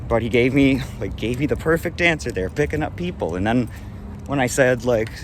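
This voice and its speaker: A man's voice: mid-range voice, expressive, fairly quick. A man vlogging outdoors at a bus stop, talking in an indignant, rambling way full of restarts. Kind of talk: rambling vlog monologue. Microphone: handheld camera. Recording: clear street traffic noise. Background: passing road traffic.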